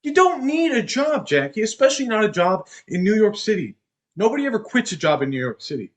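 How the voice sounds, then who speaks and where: Young man playing a controlling husband, swinging pitch. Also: big pitch swings, brisk. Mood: dismissive, controlling. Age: young adult. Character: controlling, insecure, belittling husband. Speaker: student actor. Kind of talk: stage play dialogue.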